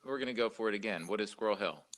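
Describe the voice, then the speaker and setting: A woman's voice: rumbling low, rapid-fire, very noisy. A woman chatting casually as a quiz contestant, joking at her own expense. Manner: self-deprecating, joking. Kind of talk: casual chat.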